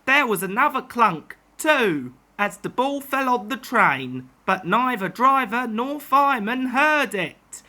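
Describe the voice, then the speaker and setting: A woman storyteller reading a children's tale aloud in an expressive narrating style. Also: on the high side, slow pace, hardly any pauses.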